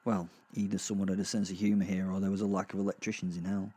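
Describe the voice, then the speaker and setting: A British man narrating a darkly comic audio drama in the first person, with wry, conversational, halting asides. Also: deep voice, flat delivery, dark tone, breathy edge.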